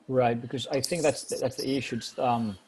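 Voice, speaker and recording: A man making a measured, reflective point in a casual group discussion on a video call. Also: mid-range voice, fairly even intonation, darkish tone. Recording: faint noise on video call.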